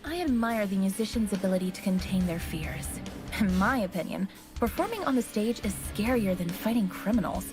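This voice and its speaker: An actress playing a wry, self-assured, social-media-obsessed young heroine narrating a video game story. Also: female voice, mid-range voice, animated, fairly quick, natural voice. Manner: wry, speaks hashtags aloud. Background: game music.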